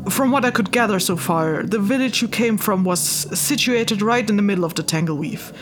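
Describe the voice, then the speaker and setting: An earnest wandering healer of spirits, played by an actress in a fantasy audio drama. She explains things tactfully and a little hesitantly in a mid-pitched voice, with clear background noise around her. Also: fairly quick, lightly acted. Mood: earnest explaining. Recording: noisy ambience behind the voice.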